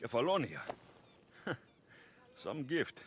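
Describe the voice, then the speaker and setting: A man's voice: medium pitch, sing-song, slow, a little hiss or hum. An actor voicing a blunt, sardonic young male mercenary in a video game cutscene. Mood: exasperated.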